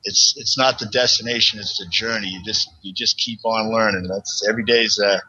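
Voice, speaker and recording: A man with a Boston accent and a low, husky voice with a sharp, tense edge. He rambles through long explanations in a halting way, restarting often. The recording sounds dull and lo-fi. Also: steady tone. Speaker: guest researcher. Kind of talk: casual interview talk.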